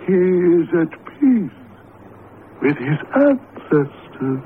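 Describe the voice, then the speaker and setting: An actor playing an ancient Egyptian pharaoh statue come alive, solemn and menacing, making grave, archaic pronouncements in a radio drama. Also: male voice, sits high, big pitch swings, extremely slow, full character voice. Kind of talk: vintage radio drama acting. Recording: muffled lo-fi radio broadcast, faint noise.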